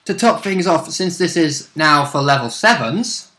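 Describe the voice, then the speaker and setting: A man's voice: fairly high pitch, animated, unhurried, bright, forceful tone. A man co-hosting a casual chat about a tabletop game and its rules, recorded in a room. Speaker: co-host and tabletop game player. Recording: roomy, echoing sound.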